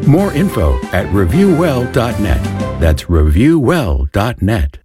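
A man's voice: lowish voice, big pitch swings, slow, music in the background. A man reading a radio show's intro and sponsor spot in a polished announcer delivery.

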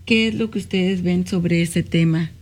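A woman's voice: on the low side, fairly even intonation, measured pace. A woman hosting a community radio talk show, thanking callers and guests, often adding "¿verdad?" as she speaks. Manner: warm thanks, frequent "¿verdad?" tags.

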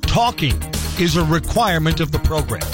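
A man's voice: a little high, lively intonation, moderate pace, over music. A male morning radio news host, chatty and self-deprecating, talking with a voice that is still recovering after he lost it. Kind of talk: casual radio monologue. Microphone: studio microphone.

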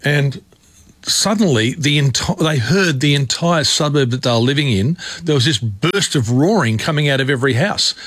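Relaxed male radio host. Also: medium pitch, moderate pace, no room sound. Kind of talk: casual radio chat.

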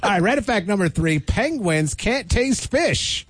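A man's voice: high-pitched, animated, a little slow, no echo. A man hosting a morning radio show, chatting casually and teasing his co-host in a playful way. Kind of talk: casual on-air banter. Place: radio studio.

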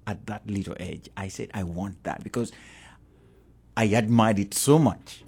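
A man's voice: lowish voice, unhurried, darkish tone, slight background noise. A middle-aged man with a Ugandan accent, a pastor talking as a guest in an interview, earnest and prone to repeating himself.

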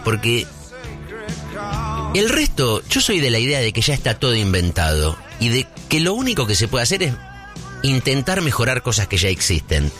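A man in his forties with a Buenos Aires accent, delivering a casual, rambling comic monologue as if thinking out loud. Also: fairly low pitch. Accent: Rioplatense Spanish, Buenos Aires. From Buenos Aires, Argentina. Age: 40s. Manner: rambling, conversational, seeks agreement with tag questions.

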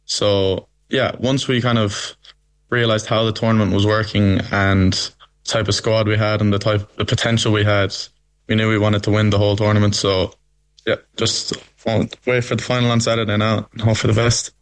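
A young man with an Irish accent and a low, steady voice that stays level. His tone is smooth and clear as he answers questions in a relaxed, easygoing way. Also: moderate pace. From Belgium. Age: young adult. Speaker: interview guest, rugby captain. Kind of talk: casual interview answers.

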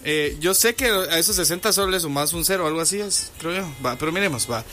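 A man's voice: on the high side, quick pace, sharp tone, faint music behind. A Guatemalan man co-hosting a radio show, chatty and playful, dishing celebrity gossip and laughing often. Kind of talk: lively gossip banter.

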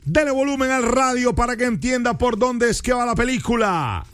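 A man's voice: extremely high pitch, expressive, normal speed, a bit bright, dry recording, clean studio sound. A man hosting a morning radio show, playful and teasing as he trades banter. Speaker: radio host.

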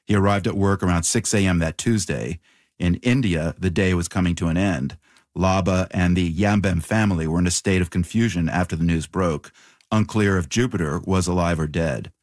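A man with a deep, low voice reads scripted documentary narration as the host. The recording is close and dry, with very little room sound. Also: normal speed, darkish tone, no room sound.

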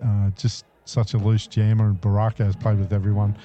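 Brief: easygoing man hosting community radio, very dark mellow voice, dull lo-fi broadcast sound. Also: on the low side, level tone, brisk, soft background music. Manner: easygoing, frequent uh and um fillers. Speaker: radio host. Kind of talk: casual radio DJ patter.

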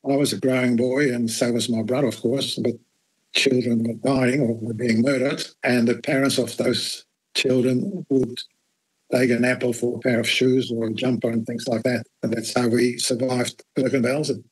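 An elderly man in his eighties recounts his life in a matter-of-fact, blunt way, adding dry little asides. His voice sits at an ordinary pitch for a man and has a slightly husky, breathy edge. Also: little pitch movement, moderate pace, low-quality recording. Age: 80s. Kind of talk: spoken life story in an interview.

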